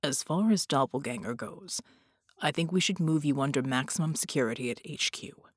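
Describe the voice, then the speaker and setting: A woman voicing a gruff but gentle, stoic man in an audiobook, in a low, measured murmur. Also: animated, no echo, studio quality. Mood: calm, practical. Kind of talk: audiobook character dialogue.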